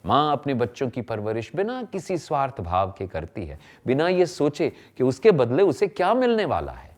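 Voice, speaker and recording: A male narrator delivers scripted explanation for a training film. His mid-pitched voice swings expressively, and he leans on emphasis and rhetorical questions to make each point. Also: huge pitch swings, normal speed, a little mellow.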